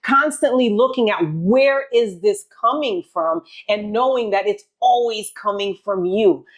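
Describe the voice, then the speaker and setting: An earnest woman from Haiti hosts an intimate podcast conversation. Her voice sits at an ordinary middle pitch, and she presses her points with emphasis, restarting phrases and repeating words as she works through each thought. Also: measured pace. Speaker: podcast host. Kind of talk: casual heartfelt conversation.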